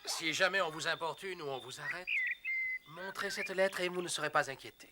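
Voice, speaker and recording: An actor playing a kindly, excitable monastery priest in a cartoon dub. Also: male voice, on the high side, fairly quick, lightly acted. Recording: music behind the voice.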